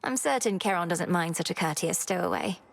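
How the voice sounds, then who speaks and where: A determined young princess witch of the underworld for a game, formal and measured. The voice is slightly husky and carries a long reverb tail. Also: fairly low pitch. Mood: courteous. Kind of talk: video game voice acting, formal diction. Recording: long reverberant hall effect.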